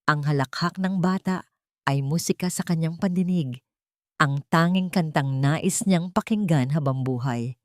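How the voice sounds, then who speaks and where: Babaeng tagapagsalaysay ng drama, malalim ang boses, tuyong rekording sa studio. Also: female voice, low voice, unhurried, no room sound. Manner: steady, dramatic storytelling. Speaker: narrator. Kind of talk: scripted audiobook drama narration.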